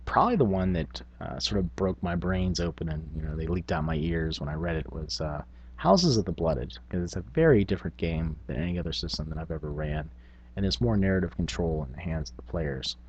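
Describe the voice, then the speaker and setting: Deep, husky-voiced man chatting casually. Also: brisk, dull audio. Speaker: tabletop gaming video host. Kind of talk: casual unscripted vlog talk.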